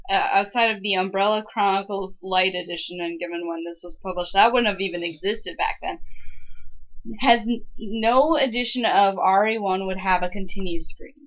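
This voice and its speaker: A woman's voice: medium pitch, fairly bright tone. Woman with a dull, lo-fi sound, pedantic. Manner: pedantic, halting. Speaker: co-commentator. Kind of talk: casual critical commentary.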